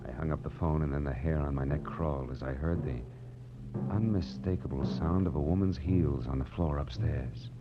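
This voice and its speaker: An actor playing a hard-boiled, world-weary private detective in a 1940s radio drama, with an American accent and clipped, deadpan delivery. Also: male voice, extremely low pitch, normal speed. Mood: tense, measured. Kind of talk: 1940s radio noir acting, first-person narration. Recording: muffled old radio recording with music.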